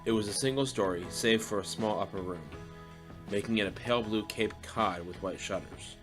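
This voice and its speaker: A man narrates a fiction audiobook in a fairly low voice. He reads scripted prose, and music plays clearly behind him. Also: moderate pace.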